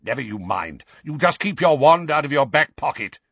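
Guy doing a growly old wizard, suspicious and grumpy, barks his lines, husky, audiobook style. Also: on the high side, big pitch swings, moderate pace, a bit bright, no room sound, voice pushed up, heavily acted. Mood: irritable, barking. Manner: barking, irritable. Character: gruff, suspicious, irritable old battle-scarred male wizard.

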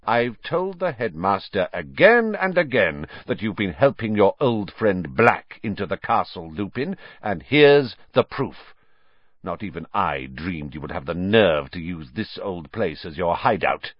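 A man's voice: low voice, big pitch swings, measured pace, no room sound, dull audio, heavily acted. A man voicing a sneering, vindictive wizard teacher in an audiobook, menacing and sarcastic, his delivery silky, hissing or spitting. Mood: smug, gloating. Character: sneering, vindictive, fanatical male wizard schoolteacher.

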